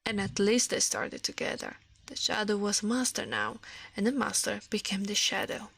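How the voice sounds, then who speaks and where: A woman's voice: medium pitch, sing-song, moderate pace, vocal fry, fairly bright tone, husky, poor audio. A woman giving an ASMR reading of a storybook, stumbling now and then and correcting herself. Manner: reads with stumbles and self-corrections. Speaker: ASMR streamer.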